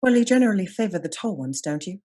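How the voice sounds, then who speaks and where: A woman's voice: mid-range voice, expressive, fast, mellow, natural voice. A woman playing a dry, teasing courtroom spectator murmuring asides in a period radio play. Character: dry, teasing female onlooker at a trial.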